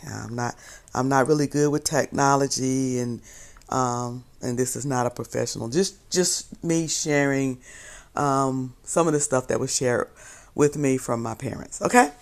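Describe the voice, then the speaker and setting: A hoarse woman vlogger chatting casually to her viewers, rambling and correcting herself as she goes. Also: very deep voice, a little slow. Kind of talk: casual chat to camera.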